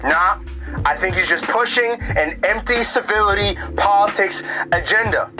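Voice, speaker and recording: A woman's voice: medium pitch, moderate pace, pushed and bright, faint music behind, close to an everyday voice. A woman playing an indignant, streetwise protester ranting bluntly in slang as a radio caller in an audio drama. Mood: dismissive, insistent.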